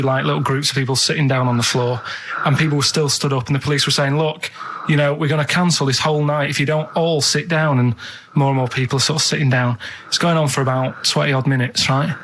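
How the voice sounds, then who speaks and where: Man, thirties, slightly high pitch, rambling radio anecdotes, matter-of-fact. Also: a bit bright, a little hiss or hum. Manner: matter-of-fact, rambling, tags sentences with "right?". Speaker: radio show co-host.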